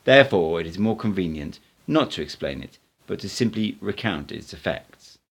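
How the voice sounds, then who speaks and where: A man's voice: deep voice, expressive, normal speed, gravelly, husky, heavily acted. A man voicing a playful, assured, cryptic male mystic teacher in an audiobook, speaking in emphatic declarations. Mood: assured, instructive. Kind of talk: audiobook character dialogue.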